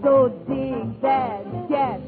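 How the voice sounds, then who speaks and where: A woman's voice: high-pitched, drawn-out words, creaky, husky. A woman singing 1940s swing jazz. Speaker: singer. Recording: tinny vintage radio recording. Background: band music.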